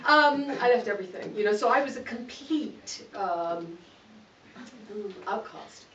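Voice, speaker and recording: A woman's voice: medium pitch, expressive, a little slow, fairly bright tone. A middle-aged woman from Malawi giving a candid, self-mocking talk to an audience and laughing often. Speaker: guest speaker. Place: event hall. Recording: echoey hall, distant mic, dull sound, noisy.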